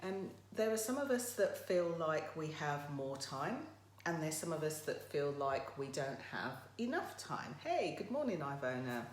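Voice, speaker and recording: A woman with a croaky voice hosting a casual live motivational talk, chatting directly to her viewers. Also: sits low, very animated, unhurried. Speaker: motivational livestream host. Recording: roomy echo, faint background noise.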